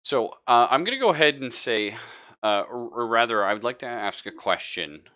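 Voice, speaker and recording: A man narrating a tabletop game casually as game master, thinking aloud in an informal, halting way. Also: mid-range voice, lively intonation, bright, forceful tone.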